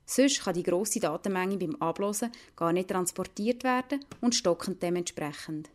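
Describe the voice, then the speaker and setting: A woman's voice: on the low side, normal speed, darkish tone. A woman with a Lucerne Swiss German accent, narrating a scripted radio report as a reporter.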